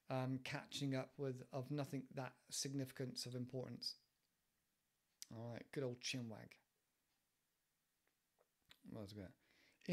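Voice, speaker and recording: A man in his forties with an English accent, explaining idioms in a relaxed, rambling way on a home podcast, with many fillers and restarts. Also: mid-range voice. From England. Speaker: English teacher podcast host. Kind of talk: casual explanatory monologue. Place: living room at home. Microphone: headset with desk mic, webcam.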